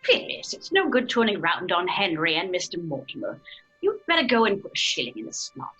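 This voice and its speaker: A woman's voice: lowish voice, huge pitch swings, pushed and bright, breathy edge, faint music behind, full character voice, low-quality recording. A woman playing a genteel, chiding middle-aged English mother and hostess in an old-fashioned radio drama, with an English accent. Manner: prim, brisk, proper. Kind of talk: 1930s-style radio drama acting.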